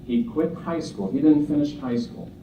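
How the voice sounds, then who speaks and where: A man's voice: fairly high pitch, fairly even intonation, moderate pace, dark tone, a little hiss or hum. A man from Lebanon giving an earnest, humorous motivational speech at a conference, telling stories with jokes and asides. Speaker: speaker at a conference. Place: conference hall. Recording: distant roomy hall sound, dull.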